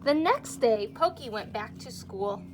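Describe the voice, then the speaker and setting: A woman's voice: on the high side, expressive, measured pace, background noise. A woman reading a children's storybook aloud like a teacher, with simple explanations and questions for young listeners.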